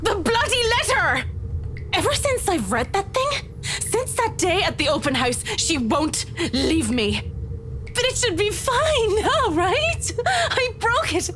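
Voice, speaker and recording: A traumatized, sharp-tongued survivor of a haunted mansion in a visual novel. Her voice sits very high and leaps around with frantic, pleading urgency. There is noise faintly behind her. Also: sing-song, slow pace, exaggerated voice. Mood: hysterical. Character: panicked, traumatized woman escaping a haunted mansion. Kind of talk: visual novel game voice acting.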